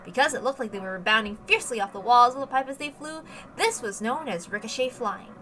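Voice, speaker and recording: A woman's voice: on the high side, a little fast, fairly bright tone. A woman reading a story aloud as dramatic scripted narration, with emphatic, theatrical delivery. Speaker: reader of a visual novel.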